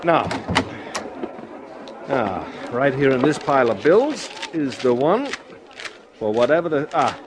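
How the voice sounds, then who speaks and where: Noisy old radio show, fussy chatty grocer fawning over customers, voice jumps all over. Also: male voice, medium pitch, very animated, takes it slowly, long pauses. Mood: busy, effusive. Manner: effusive, distracted, fawning with customers. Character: chatty, fussy, eager-to-please male grocery store owner. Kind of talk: 1950s radio drama acting.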